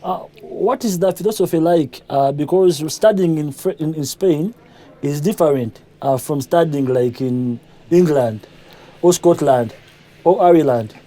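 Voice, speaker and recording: A man's voice: fairly high pitch, measured pace, warm tone. A man from Uganda hosting a sports interview, asking questions with frequent "uh" fillers and short acknowledgements. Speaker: interviewer host.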